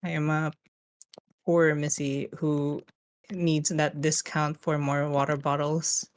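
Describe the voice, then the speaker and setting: A man with a Filipino English accent and a naturally high-pitched voice, chatting playfully with his raid group over a voice call. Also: little pitch movement, takes it slowly, smooth, dry recording. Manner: playful, teasing, laughs often. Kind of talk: casual voice chat while gaming.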